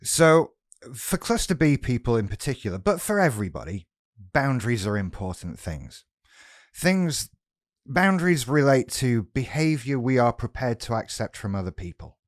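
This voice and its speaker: A British man with a head cold and a rough voice, talking frankly and bluntly in a casual monologue about mental health, with some swearing. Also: mid-range voice, sing-song, normal speed, no room sound, studio quality. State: has a cold, sounds rough.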